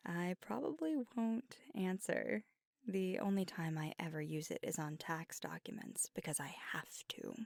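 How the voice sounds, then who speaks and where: A woman voicing a gravelly-whispered young vampire. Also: mid-range voice, no echo, studio quality. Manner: soft whispering, intimate. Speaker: ASMR roleplay performer. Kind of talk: ASMR roleplay. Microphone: close mic.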